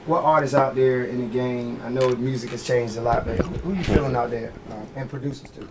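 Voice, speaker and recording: A man's voice: mid-range voice, steady tone, a little fast, crowd noise. A man interviewing in a casual, familiar way with informal runs of questions. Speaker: radio interviewer. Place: radio studio. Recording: noticeable background room noise.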